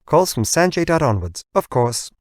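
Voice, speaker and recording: Man voicing a chirpy female hologram assistant, raised pitch. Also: very animated, normal speed, dry recording, studio quality. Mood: obliging. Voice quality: mellifluous. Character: young woman hologram assistant, chirpy and obliging.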